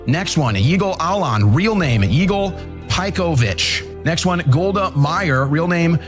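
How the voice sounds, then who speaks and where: A man on a discussion panel, reading out a list quickly and forcefully. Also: fairly high pitch, expressive, over music. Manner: fast and forceful.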